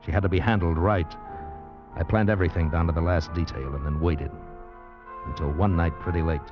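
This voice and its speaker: An actor playing a scheming, greedy man in a vintage radio drama, in a hard-boiled confessional and smoothly persuasive way. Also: low-pitched, steady tone, fairly quick, close to an everyday voice. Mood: brooding, cold. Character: scheming, greedy man narrating his murderous affair. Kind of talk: 1940s-50s radio drama acting. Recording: dull vintage radio recording with music.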